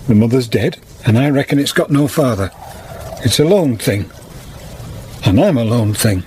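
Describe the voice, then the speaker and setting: Guy playing stubborn lonely old man in a radio play, halting and plaintive, voice leaps around, noisy background. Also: mid-range voice, expressive, warm tone, close to an everyday voice. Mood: dazed, resolute. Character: lonely old bachelor weaver, dazed, stubbornly attached to foundling. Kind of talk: radio drama acting.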